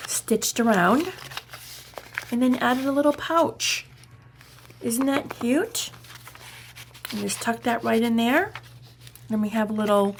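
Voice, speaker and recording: A woman talks slowly through a casual craft show-and-tell, pausing often as she goes. Her voice is a little raspy with noticeable fry at the edges, over faint background noise. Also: fairly high pitch. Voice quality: slightly raspy morning voice. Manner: unhurried, chatty, halting as she shows items. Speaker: craft vlogger.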